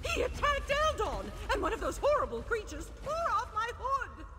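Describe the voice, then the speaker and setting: A woman's voice: extremely high pitch, huge pitch swings, moderate pace, big-room sound, background noise, full character voice. An actress playing a panicky young female elf in a video game, with a frantic delivery. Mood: terrified. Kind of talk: video game character dialogue.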